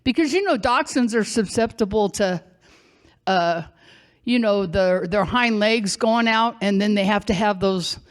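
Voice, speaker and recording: A woman with a loud, projecting voice telling a personal story on a theater stage, animated and conversational. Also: medium pitch, a little slow. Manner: animated, conversational, acts out shouting and quotes.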